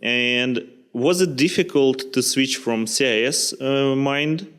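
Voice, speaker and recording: Smooth-voiced man asking interview questions. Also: medium pitch, slow pace, a bit bright. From CIS region. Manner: slightly broken English, searching for words. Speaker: podcast host.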